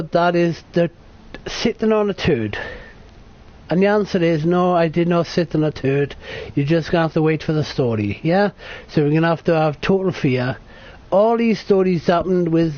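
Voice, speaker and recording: British guy in his 30s, high voice, muffled lo-fi recording, chatty swearing storyteller. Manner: sweary, self-correcting, chatty. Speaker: vlogger. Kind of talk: rambling casual storytelling. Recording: dull lo-fi sound.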